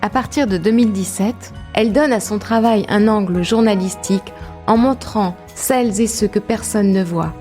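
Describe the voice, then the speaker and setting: A woman hosting a radio portrait program, reading a scripted introduction and asking interview questions in a measured, composed way. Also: mid-range voice, a little mellow. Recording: radio show with faint music.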